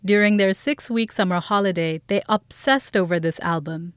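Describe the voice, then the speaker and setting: A woman's voice: mid-range voice, normal speed, smooth, no echo, studio quality. A woman reading scripted narration for an audio documentary as its host.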